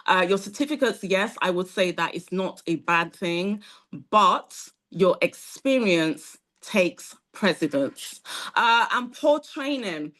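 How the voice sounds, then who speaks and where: A woman's voice: medium pitch, animated, slow, fairly bright tone. Encouraging woman teaching a live class. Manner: direct, encouraging, many fillers. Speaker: instructor at a training academy. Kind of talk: live online masterclass.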